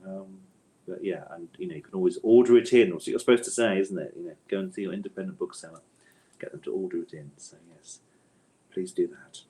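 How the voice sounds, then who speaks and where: A man with a notably low voice answers interview questions as an author. He speaks conversationally and thoughtfully, hesitating and doubling back to reword his points as he goes. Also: fast, dark tone, rough recording. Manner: thoughtful, hesitant, hedging and circling back to rephrase.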